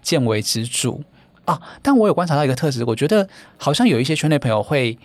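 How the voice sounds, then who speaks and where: Fast-talking Taiwanese Mandarin man, dry mic. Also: on the high side, sing-song, no echo. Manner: fast, eager explaining with repeated affirmations. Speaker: guest, founder of dating events. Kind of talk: podcast interview.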